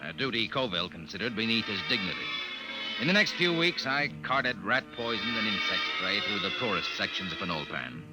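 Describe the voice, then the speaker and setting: A lively-pitched young man a junior officer in a vintage radio drama with music in the mix. He is polite and inquisitive, moving from dramatic narration to deferential, slightly hesitant dialogue. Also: a little fast, low-quality recording. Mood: reflective narrating.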